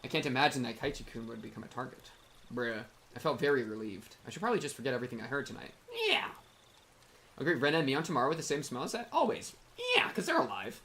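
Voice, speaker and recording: A game streamer with a bright, forward voice at medium pitch, reacting casually and reading narration aloud. The talk is slangy and wry, with faint game ambience behind it. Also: expressive, fast talker, a little hiss or hum. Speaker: streamer playing and reacting to a visual novel.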